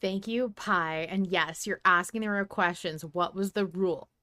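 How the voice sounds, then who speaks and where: A woman's voice: on the low side, moderate pace, pushed and bright, dry recording. A woman hosting a podcast, talking casually about a story with others. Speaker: podcast host.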